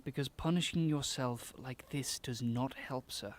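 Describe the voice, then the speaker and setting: A man's voice: mid-range voice, unhurried, dry recording, lightly acted. A man acting in a radio drama as a concerned laboratory assistant, formal, respectful and earnest. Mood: concerned, pleading.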